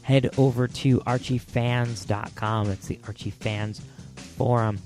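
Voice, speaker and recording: A man's voice: medium pitch, fairly even intonation, unhurried, a little mellow, soft background music. A man hosting a podcast on his own, talking casually with many fillers and repeats, his voice scratchy as he loses it. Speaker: podcast host. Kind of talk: solo podcast talk.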